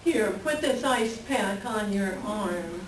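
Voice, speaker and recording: A woman's voice: medium pitch, animated, slow. A woman playing a caring, sympathetic friend and neighbor in a staged play reading. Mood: soothing, indignant. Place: library room reading before an audience. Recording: echoey room, distant mic, faint noise.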